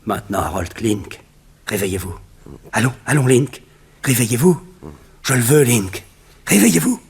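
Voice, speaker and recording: An actor playing a cold, domineering villain who commands and interrogates in a 1960s radio drama. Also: male voice, medium pitch, animated, normal speed, vocal fry. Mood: commanding. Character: cold domineering male villain, a hypnotist master giving orders.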